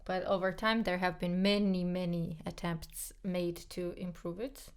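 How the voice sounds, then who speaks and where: A woman whose first language is Georgian talks through a casual podcast segment, with a low, gravelly voice. She is chatty and frank, repeats words and fills pauses with ums. The talk is loose and unscripted. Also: little pitch movement. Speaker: podcast co-host, pianist. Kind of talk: casual conversational chat.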